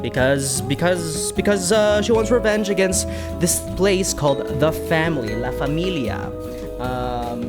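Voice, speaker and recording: A streamer chatting casually and commentating on a game, in a rambling, animated way that repeats words. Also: medium pitch, a little slow, over loud music. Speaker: streamer reacting to a video game playthrough. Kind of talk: casual livestream chat, commentary.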